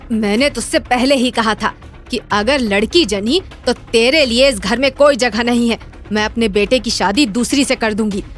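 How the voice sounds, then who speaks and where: A woman's voice: fairly high pitch, natural voice. A woman voicing a harsh, taunting middle-aged mother-in-law who scolds and commands in a moral story.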